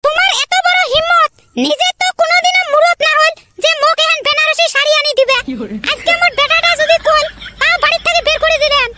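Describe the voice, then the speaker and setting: Nagging village mom, played by a man, extremely high squeaky pitch, northern Bengal accent, very noisy audio. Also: fairly even intonation, fairly quick. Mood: indignant. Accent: northern Bengal (Cooch Behar region). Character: scolding, soft-hearted village mother of grown son. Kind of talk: comedy cartoon voice acting in rural dialect.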